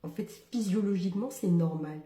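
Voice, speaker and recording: Young French woman, very dark mellow tone, wry humor, frequent laughs and whispers. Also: lowish voice, fairly quick, reverberant. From metropolitan France. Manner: ironic, laughs often, drops into whispered asides. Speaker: social media creator. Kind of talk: casual monologue to camera.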